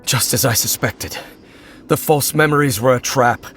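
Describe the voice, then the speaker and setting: A man's voice: mid-range voice, normal speed, music in the background, natural voice. A man playing a grave, stoic wandering warrior in a video game, solemn and commanding in manner. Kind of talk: video game character acting.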